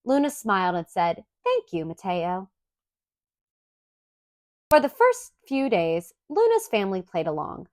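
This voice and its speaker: A woman reads a children's story aloud in an expressive, clearly enunciated storytelling manner. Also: medium pitch, normal speed, few pauses, no echo, clean studio sound. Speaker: storybook reader.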